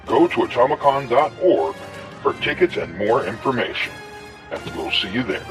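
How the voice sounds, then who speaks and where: A man's voice: medium pitch, sing-song, normal speed. A man reading a scripted event advertisement as an announcer. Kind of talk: scripted promo read. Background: music.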